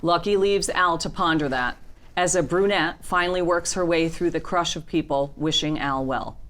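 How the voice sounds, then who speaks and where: A woman narrates a television script at a table read. Her voice is deep for a woman, and she reads out scene headings and action as scripted narration. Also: moderate pace, a bit bright. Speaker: narrator reading stage directions.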